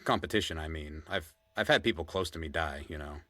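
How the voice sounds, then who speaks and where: A man's voice: extremely low pitch, a little fast, a bit bright, no echo. A man playing a probing, teasing podcast interviewer and old friend in a natural audio drama. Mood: teasing, confiding.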